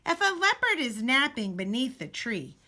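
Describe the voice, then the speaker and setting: A woman reading a children's storybook aloud expressively, with deliberate, dramatic emphasis and pauses. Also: high voice, very animated, sharp tone. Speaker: teacher reading a picture book aloud.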